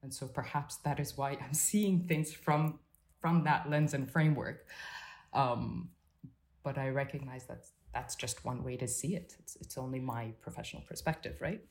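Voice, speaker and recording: A woman psychotherapist talks through her view in a halting, reflective way, full of restarts and fillers. Her voice sits low and sounds mellow. The effect is a thoughtful guest working out her ideas as she speaks. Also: fairly even intonation. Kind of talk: reflective conversational interview.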